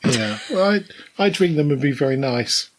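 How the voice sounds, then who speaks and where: A middle-aged or older British man co-hosting a casual podcast, telling anecdotes in a measured way with wry asides. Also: mid-range voice, expressive. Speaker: podcast co-host.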